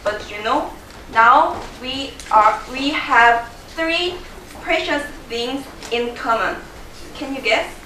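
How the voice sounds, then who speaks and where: A woman's voice: sits high, takes it slowly, pushed and bright, a little hiss or hum. Woman, young, Korean accent, presenter, interactive, echoey room, far from mic. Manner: interactive, quizzes the audience and laughs often. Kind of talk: live presentation to an audience. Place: large room with a live audience.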